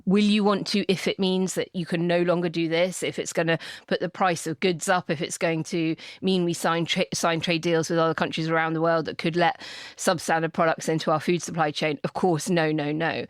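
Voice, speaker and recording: A woman's voice: low voice, dry recording, clean studio sound. A woman with a British accent discussing politics as a podcast co-host, speaking emphatically and repeating phrases for effect. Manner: emphatic, repeats phrases, acts out imagined exchanges. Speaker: podcast co-host and polling campaigner.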